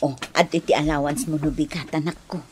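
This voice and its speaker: A woman playing a loving, hardworking mother in a radio drama, speaking husky and tenderly. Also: deep voice, steady tone, brisk, a little mellow, slight background noise, lightly acted. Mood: tender, pleased. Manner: tender, affectionate.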